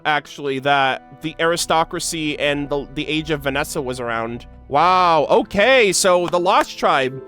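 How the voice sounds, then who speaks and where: A man giving excitable, slangy reaction commentary as a gaming creator, mixing in read-aloud game lore. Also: high voice. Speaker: gaming content creator, host.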